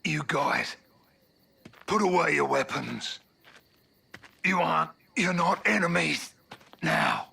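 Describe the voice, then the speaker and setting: An actor playing a weary, gruff old man in a video game, wry and raspy. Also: on the high side, animated, takes it slowly, pauses often, sharp tone, exaggerated voice. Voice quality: gravelly, raspy. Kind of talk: video game cutscene acting.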